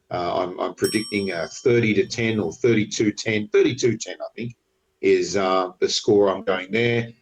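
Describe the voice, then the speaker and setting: Aussie bloke with a head cold, chatty footy tipping from a hotel room, pokes fun at himself. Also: male voice, fairly low pitch, rough recording. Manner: chatty, self-mocking, upbeat. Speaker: podcast co-host. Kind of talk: casual sports tipping chat.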